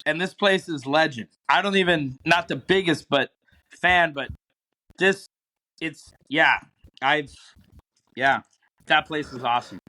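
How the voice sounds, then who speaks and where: A man in his forties with a bright, slightly higher voice that has an edge to it. He chats loosely and throws in crude wisecracks. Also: unhurried, pauses often, poor audio. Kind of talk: casual chat.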